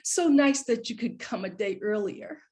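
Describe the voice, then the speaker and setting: A woman's voice: a little high, animated, moderate pace, darkish tone, close to an everyday voice. A woman playing a retired professor mother of sixty-five in a screenplay read, conversational, fond and reproachful with pointed questions. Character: retired professor mother, sixty-five, guilt-tripping, warm but wounded. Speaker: actor in a script read. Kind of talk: live screenplay table read.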